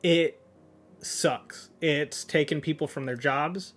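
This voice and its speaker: A man's voice: on the high side, slow, fairly bright tone, faint music behind. A young man streaming his reaction to a manga, rambling casually with lots of fillers. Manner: rambling, filler-heavy, restarts.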